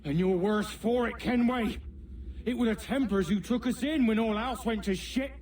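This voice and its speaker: An actor playing a bitter, accusing, rough common pirate in a video game cutscene. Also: male voice, sits high, moderate pace, a little mellow, recorded from a distance, slight background noise, natural voice.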